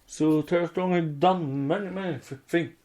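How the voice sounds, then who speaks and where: A fairly high-pitched man chats on a casual fan podcast. He is an English speaker who talks in short, broken phrases as co-host. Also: steady tone, normal speed, a little mellow. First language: not English.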